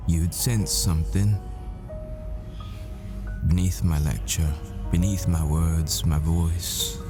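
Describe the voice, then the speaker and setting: A man playing a tender, haunted professor boyfriend in an intimate audio roleplay, speaking in halting, wistful, confessional phrases. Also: deep voice, fairly even intonation. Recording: music behind the voice. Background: music.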